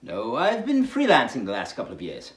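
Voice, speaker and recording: Swooping, smooth-talking young newcomer man, played by a woman. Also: extremely low pitch, huge pitch swings, fairly quick, roomy sound, heavily acted. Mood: teasing. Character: smooth, ingratiating young male library newcomer.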